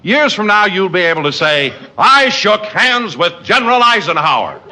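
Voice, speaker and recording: A very bright, forceful announcer sidekick from 1940s radio comedy. He is hearty and admiring, with an animated, slightly high pitch. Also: normal speed, full character voice. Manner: hearty, earnest straight man.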